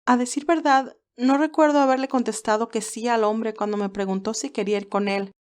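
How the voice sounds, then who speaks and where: A woman's voice: fairly high pitch, steady tone, brisk, darkish tone, lightly acted. An earnest young nurse, played by a woman narrator in an audio romance drama. She sounds naive and remorseful, close to tears as she explains herself in a confessional way. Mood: troubled, explaining.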